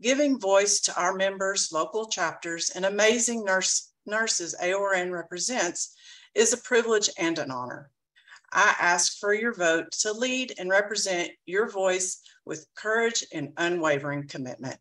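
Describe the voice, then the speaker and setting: A woman reading a prepared campaign speech as a board candidate, measured and earnest. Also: mid-range voice, a bit bright, rough recording.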